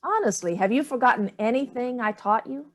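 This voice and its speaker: A woman's voice: a little high, lively intonation, fairly quick, natural voice. Woman playing a breezy, cutting elderly mother. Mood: airy, cutting. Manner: dismissive, theatrical, barbed. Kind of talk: staged play reading.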